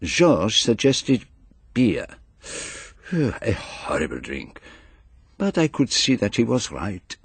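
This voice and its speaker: An actor playing a fastidious, self-assured detective in a British radio drama, speaking with precise, deliberate, theatrical phrasing. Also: male voice, fairly low pitch, huge pitch swings, gravelly, breathy edge, no echo, heavily acted. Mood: wry narration. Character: fastidious, self-assured male foreign detective, courteous and methodical.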